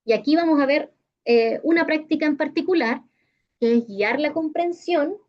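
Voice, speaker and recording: Chilean female workshop presenter, higher register, explanatory with little check-in tags, muffled video-call sound. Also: normal speed. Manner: didactic, checks in with short tag questions. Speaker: teacher-training workshop presenter. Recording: dull, lo-fi video call audio.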